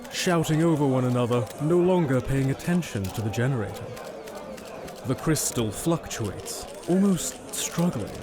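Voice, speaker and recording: A man reading scripted narration for an audio drama. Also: medium pitch.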